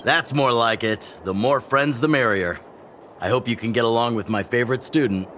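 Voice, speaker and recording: A man's voice: mid-range voice, normal speed, a bit bright, slight background noise, close to an everyday voice. An actor playing a hearty, fatherly, teasing middle-aged chief and mentor in a video game. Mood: amused, fatherly. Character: older male underground chief, genial, teasing mentor. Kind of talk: video game dialogue acting.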